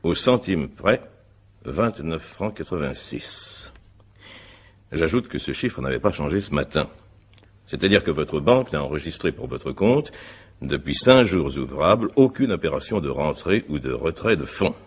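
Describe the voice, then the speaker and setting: An actor playing a courteous, insistent examining magistrate in a radio mystery drama, putting questions in a formal, measured and hesitant way. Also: male voice, rumbling low, sing-song, husky, heavily acted. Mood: probing, formal.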